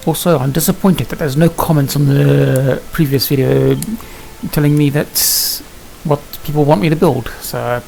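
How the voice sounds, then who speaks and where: A man giving casual gameplay commentary, thinking aloud in a halting mutter. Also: on the high side, steady tone, vocal fry, dark tone, rough recording. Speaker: gaming video host. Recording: hissy home recording, background noise.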